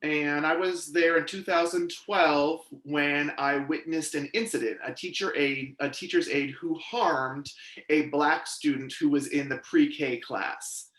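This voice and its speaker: A man interpreting a witness's testimony in the first person at a public hearing, with a measured, steady delivery. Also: on the high side, echoey room.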